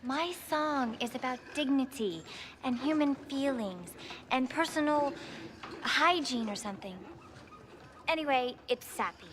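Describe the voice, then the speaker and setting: A woman's voice: sits high, a little slow, a bit bright, a little hiss or hum. An actress playing a vain, self-absorbed teenage girl in a TV series, whining petulantly. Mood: petulant complaining. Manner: petulant, complaining. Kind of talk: acted TV series dialogue.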